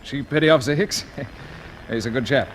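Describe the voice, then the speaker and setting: A man playing a keen, loyal space captain in crisp, hearty 1950s radio style. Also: medium pitch, normal speed, lightly acted. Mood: easygoing, keen. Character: keen, loyal young space captain, former RAF pilot. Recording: noisy old 1950s radio recording.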